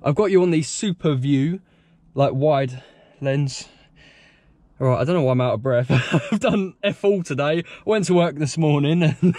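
A man's voice: a little high, darkish tone. A young man with a British accent, chatting casually and ramblingly to viewers as a car vlogger, recorded inside a car on a head-mounted camera. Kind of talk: casual chat to viewers while driving. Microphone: head-mounted action camera.

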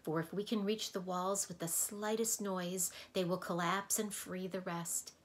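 A woman's voice: lowish voice, fairly even intonation, measured pace, voice pushed up, natural voice. A woman voicing a sad, earnest townsman in a storybook who pleads for help, speaking in a mournful, urgent tone. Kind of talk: storybook read-aloud.

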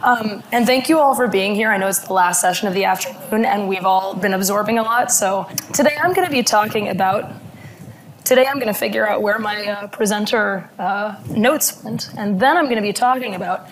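A woman in her thirties, hoarse from laryngitis, giving a conference talk in a halting way with many fillers, speaking at a podium mic. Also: medium pitch, a little hiss or hum. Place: conference room.

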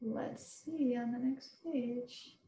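An American woman in her thirties reading a children's book aloud as a teacher, pausing to explain and ask listeners questions in simple words. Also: a little high, steady tone, warm tone. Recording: roomy, echoing webcam recording.

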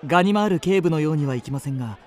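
A man playing a suave, well-bred young gentleman traveller in a radio drama, measured, polished and courteous. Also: fairly high pitch, expressive, slight background noise, natural voice. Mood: gallant, confident. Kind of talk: radio drama narration and dialogue.

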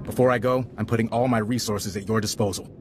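An actor playing a grave government agent in a video game, speaking in a formal, official manner. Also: male voice, lowish voice, steady tone, fast talker, background noise, natural voice. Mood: earnest. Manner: serious, official. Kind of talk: video game character acting.

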